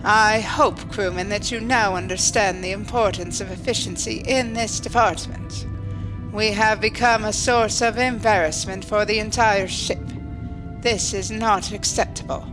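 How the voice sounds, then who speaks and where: A woman's voice: mid-range voice, steady tone, unhurried, music in the background, natural voice, rough recording. A woman playing a stiff, by-the-book starship ensign in a sci-fi audio drama, speaking formally and precisely. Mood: stern.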